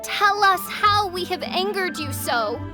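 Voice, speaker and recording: Theatrical teenage princess, high voice, slow, pompous, archaic diction, video game, soft music behind. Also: female voice, lively intonation. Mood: mournful, dramatic. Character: theatrical teenage girl posing as a grandiose princess.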